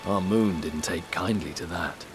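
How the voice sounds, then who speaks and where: A low-voiced, consoling bereaved father. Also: unhurried. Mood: fond remembering. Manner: gentle, earnest, steady. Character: warm, consoling, proud father mourning his daughter. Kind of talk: dramatic video game voice acting. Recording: rain and music behind. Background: rain, music.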